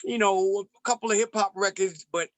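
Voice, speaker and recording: Bright-voiced man, rhythmic and measured. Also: sits high, no room sound. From United States, raised in Ethiopia. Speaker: interview guest, poet and musician. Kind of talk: reflective conversational interview.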